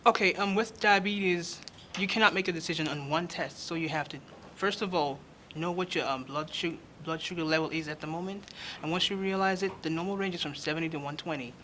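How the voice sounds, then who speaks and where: A young male nursing student speaking earnestly in an interview, pausing often with fillers. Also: fairly high pitch, bright, forceful tone, slight background noise. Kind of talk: on-camera interview. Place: state house conference.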